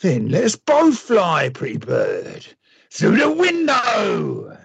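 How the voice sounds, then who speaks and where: An actor playing a menacing, taunting villain in a radio play, with a raspy voice and a theatrical drawl. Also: male voice, sits high, huge pitch swings, slow, very noisy, heavily acted. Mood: taunting threat. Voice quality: raspy, gravelly. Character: Mister Hyde, menacing, gloating, taunting male villain.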